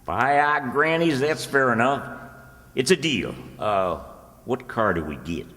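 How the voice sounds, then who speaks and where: An actor playing an earnest, gullible small-town rustic schemer, folksy and homespun, in old-time radio comedy. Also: male voice, medium pitch, animated, a little slow, bright, forceful tone. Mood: pleased. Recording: echoey hall with long reverb.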